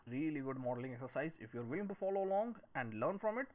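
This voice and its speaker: A man's voice: fairly high pitch, sing-song, fast, darkish tone. A man giving a casual screen-recorded 3D modelling tutorial, talking through each step as he works. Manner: talks through each step while working, muttering counts and shortcuts. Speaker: 3D modelling instructor. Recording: clear background noise, keyboard and mouse clicks. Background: noise with typing and clicking.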